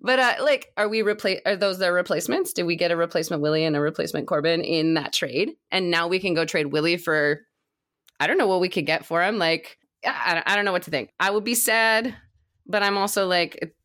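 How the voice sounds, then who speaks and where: A woman's voice: lowish voice, fairly quick, a bit bright. A woman chatting casually and enthusiastically as a co-host of a baseball fan podcast. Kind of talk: casual chat.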